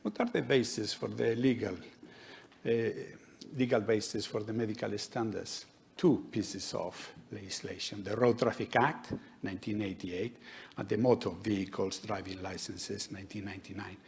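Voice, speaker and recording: Low gravelly man with a Spanish accent. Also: fairly even intonation, a little mellow, a little hiss or hum. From Spain. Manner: measured, explanatory, with light humour. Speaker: conference speaker, DVLA doctor. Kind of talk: conference talk. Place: conference hall.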